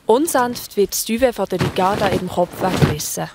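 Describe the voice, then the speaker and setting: A woman's voice: mid-range voice, normal speed, heavy background noise. A woman with a Swiss accent narrating a children's story in a colloquial style.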